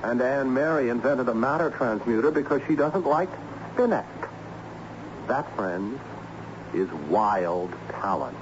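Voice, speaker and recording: An actor playing an earnest, learned schoolteacher who speaks insistently and didactically in a radio drama. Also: male voice, mid-range voice, lively intonation, measured pace, lightly acted. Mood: explaining, intent. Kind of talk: old-time radio drama acting. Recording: muffled, noisy radio drama recording.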